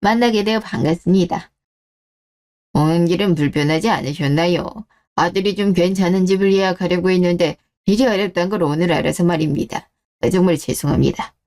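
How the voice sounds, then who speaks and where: A woman playing a courteous older father in a radio drama, polite and measured in formal speech. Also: on the low side, darkish tone, voice pushed down, lightly acted. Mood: apologetic, courteous. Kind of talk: Korean radio drama dialogue.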